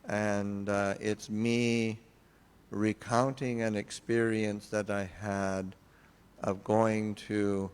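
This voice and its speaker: An older man speaks slowly and haltingly, with a lowish voice, in an informal talk and Q&A. He pauses often with fillers and restarts. The hall carries a long reverb and a faint hum of noise. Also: flat delivery, clean tone. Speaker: Buddhist monk teacher.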